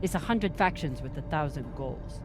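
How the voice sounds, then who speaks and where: A woman's voice: low-pitched, animated, moderate pace, over music. A woman playing a hardened, bitter space-crew leader in a video game, with a terse, grim delivery. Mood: firm, insistent. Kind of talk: dramatic video game acting.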